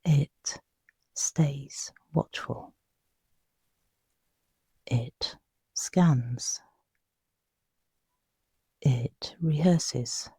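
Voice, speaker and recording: A meditation guide speaks very slowly in a mellow, breathy hush. The delivery is soothing and unhurried, with long pauses between phrases. The sound is dry and close, made for guiding someone to sleep. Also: mid-range voice, no room sound, whispered.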